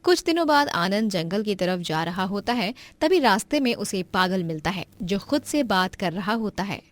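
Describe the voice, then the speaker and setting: A woman's voice: mid-range voice, big pitch swings, normal speed. Woman narrating a cartoon story.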